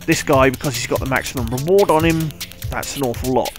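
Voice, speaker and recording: A man's voice: medium pitch, a little fast. A British man giving casual, informal live commentary on a video game, muttering and repeating himself as he plays. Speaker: gameplay commentator. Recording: game music behind the voice.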